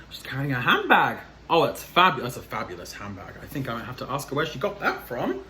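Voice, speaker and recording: A man's voice: a little high, fairly bright tone. A man reading a children's picture book aloud as a drag storyteller, theatrical and chatty, speaking straight to young viewers. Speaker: storyteller, drag queen host. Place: small plain room indoors. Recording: echoey room, light background noise.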